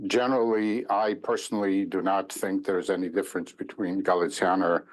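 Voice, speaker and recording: An older man giving a slow, measured oral history testimony in an archival recording played back in a room. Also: deep voice, flat delivery, poor audio. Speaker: Holocaust survivor giving testimony.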